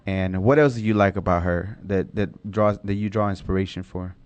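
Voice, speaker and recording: A man's voice: low-pitched, fairly even intonation, normal speed, darkish tone, clear, resonant tone, no echo, lo-fi sound. A man whose first language is Spanish, hosting a casual podcast chat and rambling with lots of self-corrections. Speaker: podcast host.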